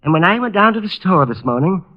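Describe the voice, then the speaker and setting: An actor playing a fussy, anxious shop manager in a vintage radio drama, hesitant and deferential. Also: male voice, high-pitched, sing-song, fast. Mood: worried. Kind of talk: 1950s radio drama acting. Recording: muffled vintage radio recording.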